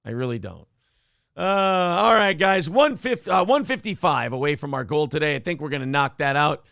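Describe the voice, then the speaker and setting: Morning-show host, man, gravelly and a touch high, dry-throated, profane, opinionated. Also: animated, normal speed, fairly bright tone, no room sound. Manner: profane, opinionated, acts out quotes in raised voices. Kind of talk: casual talk-show banter.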